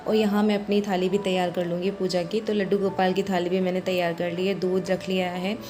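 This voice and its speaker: A woman with a hoarse voice, chatting through her home chores as a vlog voice-over and explaining each step. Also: lowish voice, little pitch movement, brisk, over music. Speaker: vlogger.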